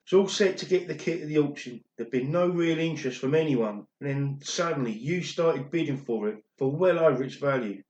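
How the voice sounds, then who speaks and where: A man's voice: on the high side, fairly quick, few pauses, a little mellow, lo-fi sound, natural voice. Guy acting as older washed up pop drummer telling his story, wistful, echoey room, radio play. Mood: sheepish. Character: ageing former pop drummer confessing an old secret, regretful. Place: recorded alone at home, indoors. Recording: roomy home recording.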